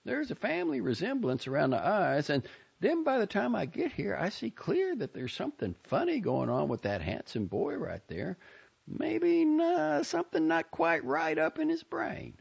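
A man's voice: sits high, huge pitch swings, moderate pace, creaky, slightly rough, highs cut off, pitched above the performer's own voice, full character voice. A man voicing a chatty, nosy, pious older Southern woman in an audiobook story, gushing and self-satisfied in a folksy rambling way. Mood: gushing, prying. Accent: American South.

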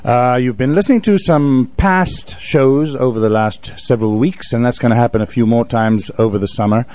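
A man's voice: mid-range voice, normal speed, darkish tone, no echo. A man hosting a radio show in a casual, conversational way.

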